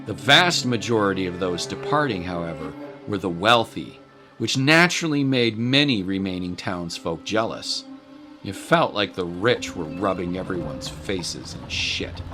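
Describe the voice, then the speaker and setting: A man's voice: sits low, animated, a little slow, music in the background. A man narrating a scripted dramatic story as a voice-over.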